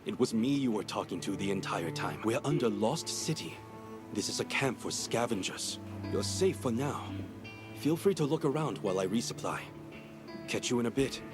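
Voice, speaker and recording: A man playing a terse hushed hacker. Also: medium pitch, lively intonation, normal speed, close to an everyday voice. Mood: calm. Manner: curt, clipped, often hushed. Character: terse male hacker ally guiding by radio. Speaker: game character. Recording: game audio with loud music.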